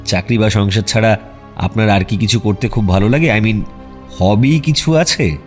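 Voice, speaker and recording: A man playing a composed, detached private detective who talks in a measured way in an audio drama. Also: fairly low pitch, natural voice. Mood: polite questioning. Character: calm, methodical male private detective. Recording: faint music behind the voice.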